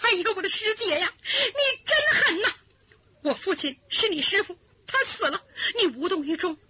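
A woman's voice: mid-range voice, big pitch swings, fast, pushed and bright, husky, no echo, voice pushed down, heavily acted. A woman voicing a grieving young noblewoman who wails and pleads through tears, on a lo-fi recording. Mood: anguished. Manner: wailing, pleading.

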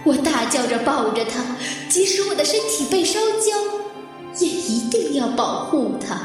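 Drenched in reverb with music underneath, this is a woman acting a wounded survivor of a fire in a mystery audio drama. Her voice sits fairly high, and she speaks with dramatic, introspective deliberation. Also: lively intonation, moderate pace. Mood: haunted, intense. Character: injured woman fire survivor, anguished then coolly guarded, narrating her ordeal.

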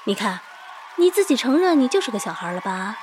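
A woman's voice: a little high, sing-song, brisk, a little hiss or hum. A woman hosting a scripted radio show, mixing monologue with playful, teasing big-sister banter. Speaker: online radio host. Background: music.

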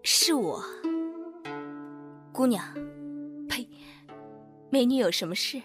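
A woman playing a brash, short-tempered shop boss in a radio drama, bossy and sharp-tongued. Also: on the high side, expressive, takes it slowly, music in the background. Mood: businesslike.

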